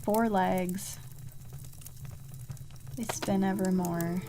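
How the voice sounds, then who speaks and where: A woman's voice: medium pitch, steady tone, very slow. A woman streaming a puzzle game with casual commentary, thinking aloud and musing to herself as she plays. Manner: musing to self, reading clues aloud. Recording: music playing behind the voice. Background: game music.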